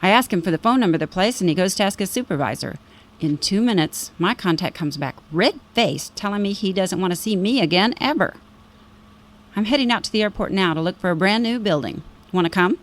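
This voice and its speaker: A woman's voice: mid-range voice, lively intonation, brisk, natural voice. A woman playing a sly, prying woman who trades in secrets, teasing and insinuating, in a radio drama.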